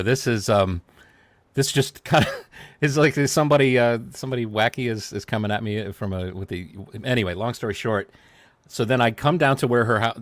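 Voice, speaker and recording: Low-voiced man, dry close sound. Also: dry recording. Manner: rambling, restarts, storytelling. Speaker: guest. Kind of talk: casual chat.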